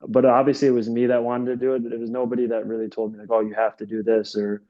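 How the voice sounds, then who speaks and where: A man's voice: mid-range voice, monotone, fast, muffled recording. A young man from upstate New York, a soccer coach, answering interview questions in a casual, rambling way full of fillers and restarts. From Rochester, New York.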